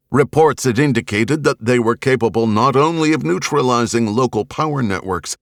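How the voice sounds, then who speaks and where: Deep-voiced man narrating a novel, swooping intonation. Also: no room sound, clean studio sound. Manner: measured, grave, deliberate phrasing. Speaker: audiobook narrator. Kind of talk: scripted dramatic novel narration. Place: dry recording studio.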